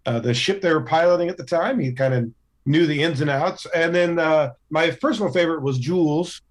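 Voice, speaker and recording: A man chatting casually as a podcast cast member, in halting, thoughtful phrases. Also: a little high.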